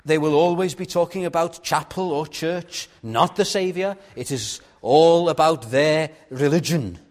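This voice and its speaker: A man with a Welsh accent, a native Welsh speaker, preaching a sermon in a measured way and putting rhetorical questions to his congregation. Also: on the high side, a little mellow. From Wales.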